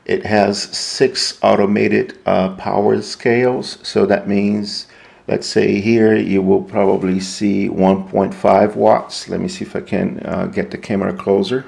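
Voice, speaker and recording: Very gravelly, low-voiced man demonstrating. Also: level tone, a little mellow. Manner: halting, explanatory. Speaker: product demonstrator. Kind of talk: unscripted hands-on equipment walkthrough.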